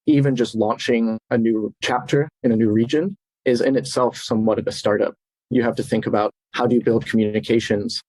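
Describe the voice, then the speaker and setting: A young man giving interview answers in a measured, matter-of-fact way. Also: lowish voice, a little mellow, no echo, low-quality recording. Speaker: venture capital professional.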